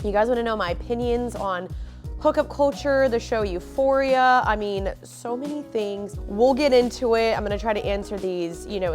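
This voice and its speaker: A young woman with a stuffy nose, chatting casually and openly to camera from her living room as a faith and lifestyle vlogger, with lots of fillers. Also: on the high side. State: stuffy, sniffly.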